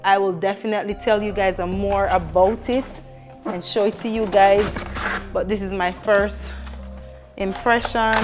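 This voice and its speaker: Chatty young woman unboxing in kitchen. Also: medium pitch. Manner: upbeat, chatty, addresses viewers directly. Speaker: lifestyle vlogger. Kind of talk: casual product unboxing. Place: home kitchen, indoors. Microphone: clip-on lapel mic.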